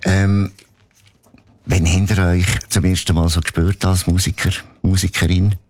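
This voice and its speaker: Swiss german guy hosting, really low voice, dry close sound, wandering questions. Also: level tone, moderate pace, darkish tone, no echo. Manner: probing, rambling questions with many fillers. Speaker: interviewer. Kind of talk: casual interview conversation.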